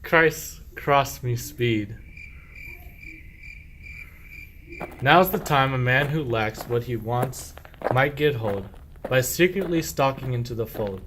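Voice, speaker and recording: A man's voice: mid-range voice, expressive, unhurried, noisy background, natural voice. A man playing a sly, boastful, self-pitying sheep thief in a medieval verse radio play, with declamatory rhyming speech. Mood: sly, secretive.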